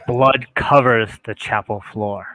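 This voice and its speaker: A man's voice: lowish voice, steady tone, slow, bright, forceful tone, soft background music, poor audio. A man narrating scenes and stage directions in an amateur script read-through.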